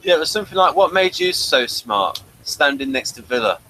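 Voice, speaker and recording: A man's voice: fairly high pitch, sing-song, a little fast, sharp tone, a little hiss or hum, low-quality recording. A man hosting a casual review discussion in a conversational style. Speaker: podcast host.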